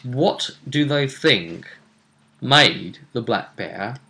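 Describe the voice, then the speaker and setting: Man, bright forceful tone, medium pitch, game master, casual recap, measured storytelling with pauses. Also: expressive, creaky.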